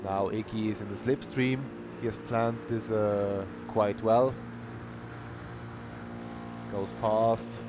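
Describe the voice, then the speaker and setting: Male race commentator, even unhurried delivery, noisy stream audio. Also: on the low side. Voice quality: calls himself monotonous. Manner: unhurried, plain-spoken, bursts of cheering. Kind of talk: live sim racing commentary.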